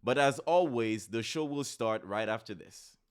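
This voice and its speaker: A man from Haiti hosting a podcast in an upbeat, chatty way, often repeating words and pausing on fillers. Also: mid-range voice, expressive. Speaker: podcast host.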